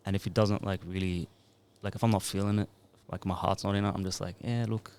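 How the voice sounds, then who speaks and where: A man's voice: deep voice, steady tone, fast, a little mellow, clear, resonant tone. A man in his thirties, a music producer and DJ, talking in a laid-back, rambling way into a podcast mic. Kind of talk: casual podcast interview.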